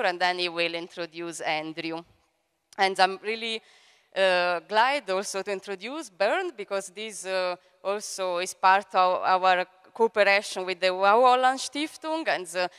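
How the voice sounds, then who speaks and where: Italian-accented woman host, hesitant with fillers, crisp voice, echoing hall. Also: medium pitch. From Italy. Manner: hesitant, many fillers, warm thanks. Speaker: event director and host. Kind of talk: conference introduction speech. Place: large event hall on stage. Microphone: handheld microphone. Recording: long hall reverb.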